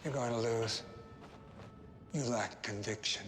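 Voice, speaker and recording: A man playing a calm, menacing government agent in a video game cutscene. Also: mid-range voice, a little slow, music in the background, lightly acted. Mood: quiet menace. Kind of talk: deadpan film dialogue in a game cutscene. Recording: loud noise and clicks behind.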